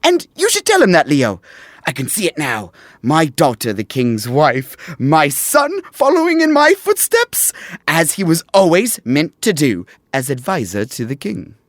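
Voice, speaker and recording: A man's voice: a little high, wildly swooping pitch, measured pace, dry recording, studio quality. An actor playing an ambitious, effusive middle-aged royal advisor and father in a modern audio drama. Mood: proud. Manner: effusive, theatrical.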